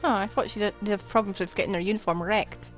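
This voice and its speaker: A woman's voice: mid-range voice, fairly even intonation, quick pace. A woman streaming a game, giving casual, offhand reaction commentary with wry asides. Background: game music.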